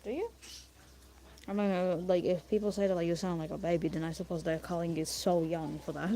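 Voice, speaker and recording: A young man with a raspy voice chatting casually while he draws on a stream, rambling with lots of "like" and "you know". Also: high-pitched, fairly even intonation, a little fast, dark tone. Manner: meandering, full of "like" and "you know". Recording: noisy room ambience.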